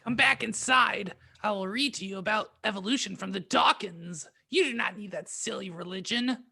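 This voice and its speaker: A woman voicing a stern, scolding, bony-handed aunt in a storybook, commanding and shrill, with a husky, creaky edge. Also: normal speed, sharp tone, heavily acted.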